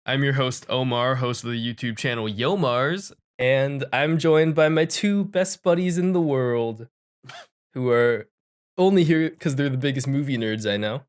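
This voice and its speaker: A man's voice: mid-range voice, measured pace, smooth. Man, podcast host, mid-range voice, informal banter, joking, stop-and-start delivery. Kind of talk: casual unscripted podcast chat.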